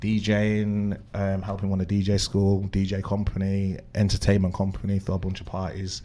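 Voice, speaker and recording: A man's voice: low-pitched, monotone, moderate pace, dark tone. A man chatting casually as an interview guest, explaining things in a rambling way. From UK, West Midlands of England. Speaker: podcast guest, DJ.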